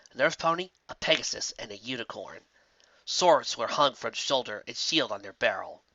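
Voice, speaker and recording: Amateur fanfic narrator reading a story, expressive, bright voice, really dry n a bit lo-fi. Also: mid-range voice, dry recording. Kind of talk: scripted fan fiction narration.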